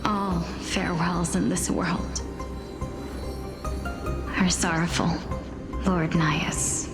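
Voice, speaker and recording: A gentle, sorrowful young woman. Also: fairly low pitch, fairly even intonation, extremely slow. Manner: soft, hesitant, sorrowful. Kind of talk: game voice acting. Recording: loud game music behind.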